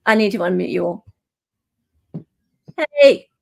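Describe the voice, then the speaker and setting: A woman's voice: fairly low pitch, lively intonation, unhurried, vocal fry. A woman hosting a casual livestream, gushing and repeating words for emphasis. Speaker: livestream host.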